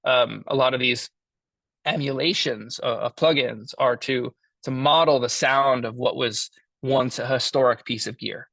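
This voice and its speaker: A man giving an informal lesson as an audio engineer, explaining his tools in a halting way as he thinks aloud. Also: mid-range voice, steady tone, gravelly, bright, forceful tone, dry recording. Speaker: mixing engineer teaching a class.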